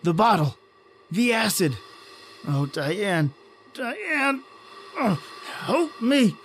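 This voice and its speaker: Man acting a high-pitched, anguished horror hero. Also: big pitch swings, fairly bright tone, a little hiss or hum, exaggerated voice. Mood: desperate. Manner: overwrought, halting, pleading. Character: anguished desperate man, grieving, defiant against a monster. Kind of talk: old-time horror radio acting.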